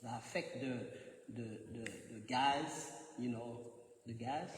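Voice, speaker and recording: A man's voice: mid-range voice, slow pace. A man with a French accent giving a temple scripture class, joking and laughing often and repeating words as he searches for them. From France. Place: temple hall. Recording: echoey hall, mic from far off, faint noise.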